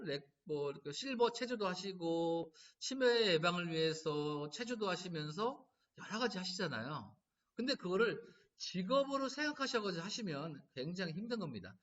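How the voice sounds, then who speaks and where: Middle-aged man, laughter therapy lecturer, bright lively voice, distant lo-fi sound. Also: high voice. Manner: lively storytelling, animated quoting. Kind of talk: lecture to caregivers. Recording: distant mic, dull lo-fi sound.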